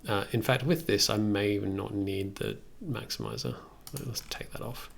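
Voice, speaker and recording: Gravelly man thinking aloud on a livestream. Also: lowish voice, steady tone, moderate pace. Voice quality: gravelly, creaky. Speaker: music production streamer. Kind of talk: casual livestream commentary while working. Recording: faint noise, keyboard and mouse clicks.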